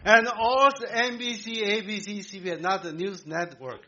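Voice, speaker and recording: A man born in Korea, preaching a sermon to a congregation in an emphatic way, with halting restarts. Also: high-pitched, pushed and bright, highs cut off. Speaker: pastor.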